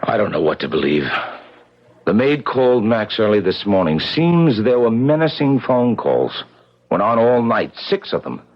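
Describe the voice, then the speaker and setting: A man's voice: on the low side, big pitch swings, normal speed. An actor playing a watchful, persuasive publicity man in a 1970s radio drama, with a businesslike way of talking. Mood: troubled. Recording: muffled, vintage 1970s radio broadcast.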